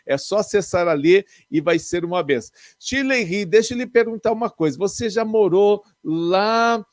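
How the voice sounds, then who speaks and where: A man's voice: sits high, lively intonation, normal speed, no room sound, clean studio sound. A man, a pastor, hosting an interview in a chatty style with frequent exclamations of praise. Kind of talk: casual interview.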